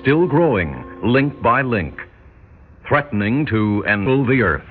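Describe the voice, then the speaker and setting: A man's voice: mid-range voice, big pitch swings, unhurried, loud music behind the voice. A man playing a grave, portentous narrator of a world crisis in a classic radio drama. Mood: solemn, ominous. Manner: solemn, portentous. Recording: dull old radio recording.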